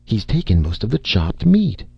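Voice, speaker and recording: A man reading an audiobook, voicing a hurried, fretful middle-aged wife in a raised voice. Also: lowish voice, huge pitch swings, gravelly, darkish tone, husky, dry recording, lo-fi sound, faint music behind, pitched above the performer's own voice, full character voice. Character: anxious upper-class wife, middle-aged.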